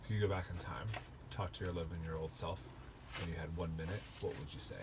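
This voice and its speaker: A man's voice: low voice, steady tone, fast. A man talking casually and jokingly, chatting along as a co-host. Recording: noisy room ambience.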